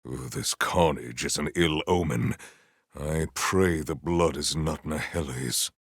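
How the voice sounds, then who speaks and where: An actor playing a proud, formal, honor-bound warrior in a video game, with archaic, theatrical diction. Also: male voice, extremely low pitch, slow, no echo, studio quality, heavily acted. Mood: grim, resolute.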